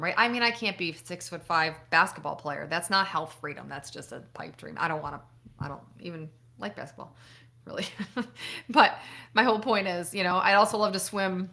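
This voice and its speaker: A woman's voice: on the low side, gravelly, pushed and bright. A middle-aged woman from upstate New York, a doctor chatting casually on a live stream, answering viewer questions, laughing often and swearing now and then. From Buffalo, New York.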